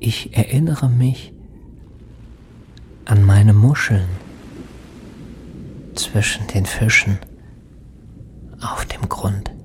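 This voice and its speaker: An actor playing a dreamy lost soul in a poetic radio drama, speaking in halting, half-whispered phrases with long pauses. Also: male voice, lowish voice, darkish tone, a little hiss or hum. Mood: wistful, entranced. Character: lost soul, a dreamy male spirit seeking redemption. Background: music.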